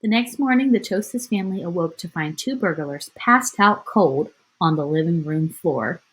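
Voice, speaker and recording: A woman with a fairly low voice reads a children's picture book aloud. She tells it expressively, as a teacher would, and speaks to her young listeners in simple, chatty asides. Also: expressive, normal speed, low-quality recording. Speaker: elementary school teacher reading aloud.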